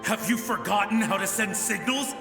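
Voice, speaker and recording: An echoing ghost soldier. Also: male voice, sits high, normal speed, vocal fry. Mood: frantic. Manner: folksy and chatty, then frantic barked orders. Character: ghost of a male soldier lighthouse keeper, friendly then panicked. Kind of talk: game voice acting. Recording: echoey ghostly reverb. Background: game music.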